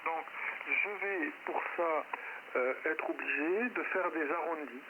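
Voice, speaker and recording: Man lecturing over very noisy shortwave radio. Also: fairly high pitch. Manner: hesitant, halting, self-correcting explanation; didactic. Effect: shortwave single-sideband radio transmission. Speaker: amateur radio operator giving a technical presentation. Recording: narrow-band, very noisy shortwave radio link. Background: loud radio static noise.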